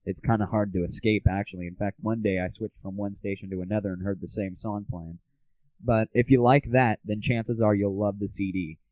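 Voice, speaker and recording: A man's voice: fairly low pitch, steady tone, a little fast, no echo. A man hosting a casual solo show in an informal, self-deprecating way on a cheap home microphone. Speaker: show host. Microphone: cheap malfunctioning home microphone. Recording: tinny lo-fi home recording, faulty microphone.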